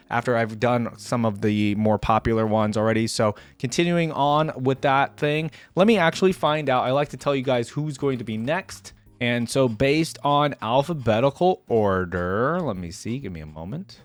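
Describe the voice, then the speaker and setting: A man's voice: medium pitch, dry recording. A man hosting a reaction video, giving casual, rambling commentary and addressing his viewers directly.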